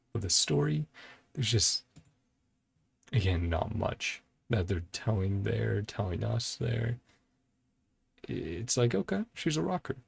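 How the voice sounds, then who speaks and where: A man's voice: lowish voice, gravelly, slightly rough. A man giving casual reaction commentary as a video host, thinking aloud in a laid-back way with music behind him.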